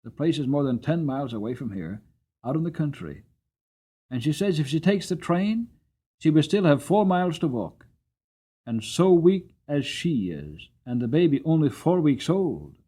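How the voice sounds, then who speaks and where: A man's voice: fairly high pitch, sing-song, moderate pace, warm tone, breathy edge. Man voicing an earnest, coaxing, caring wife. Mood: concerned. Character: cabman's caring wife, gently persuasive woman. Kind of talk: dramatic storybook character reading.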